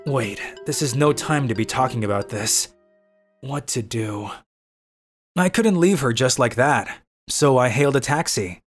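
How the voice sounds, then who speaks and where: A man's voice: mid-range voice, animated, unhurried, music in the background, natural voice. A man playing a weary, cynical young office worker who grumbles and narrates his own manga story in a dry, exasperated way. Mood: narrating. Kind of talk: dubbed manga story narration in first person.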